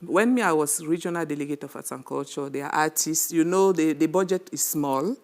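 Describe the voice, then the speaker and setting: A woman, a former regional arts delegate, answering on a panel with halting speech and many fillers. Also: deep voice, darkish tone. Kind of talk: panel talk show answer.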